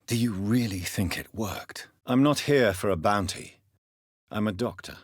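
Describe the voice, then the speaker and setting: An actor playing a brooding gentleman doctor turned vampire in a video game, speaking in a formal, articulate, grave way. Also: fairly low pitch, measured pace, husky, no room sound, studio quality. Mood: guarded, inquiring. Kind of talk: dramatic video game dialogue.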